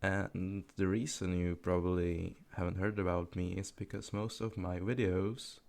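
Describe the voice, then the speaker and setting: A man's voice: low voice, flat delivery, measured pace, vocal fry, a little mellow. An eighteen-year-old man, rambling unscripted over gameplay in a self-deprecating, swearing, laugh-filled way. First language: Czech. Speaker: gaming commentary YouTuber.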